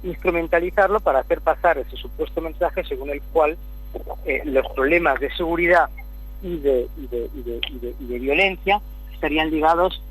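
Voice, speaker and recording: Measured middle-aged man on a humming line. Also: fairly high pitch, fairly bright tone, breathy edge, rough recording. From Spain. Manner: measured, explanatory, repeats words while searching. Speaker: guest, city councillor. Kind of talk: political interview over the phone.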